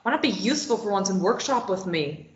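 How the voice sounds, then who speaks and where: A woman's voice: mid-range voice, expressive, brisk, muffled recording, lightly acted. A woman playing a defiant captive, roomy sound. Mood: determined. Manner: snappy, argumentative. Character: defiant, sarcastic captive woman, tied up and desperate. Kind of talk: script table read.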